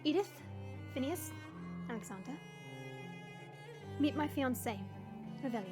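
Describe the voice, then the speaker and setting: A kindly young princess of dragons, acted by a woman, composed and patient in how she speaks. Her voice is high, and music plays clearly behind her in this audio roleplay. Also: lively intonation, a little slow. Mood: formal, resigned. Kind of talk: audio roleplay drama acting.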